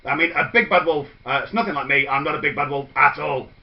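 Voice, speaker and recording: A man's voice: a little high, quick pace, dull audio, pitched below the performer's own voice, lightly acted. A man voicing a sly male big bad wolf who blusters through denials in a storybook tale. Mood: blustering.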